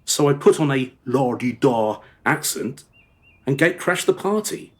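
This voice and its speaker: A male actor plays a guilt-ridden married father confessing to his daughter in a radio drama. His mid-pitched voice swings up and down expressively as he rambles through every detail, hesitating as he remembers. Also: expressive, measured pace, natural voice. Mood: wistful, reminiscing. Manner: rambling, over-descriptive, hesitant reminiscing. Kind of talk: radio play dialogue, storytelling monologue.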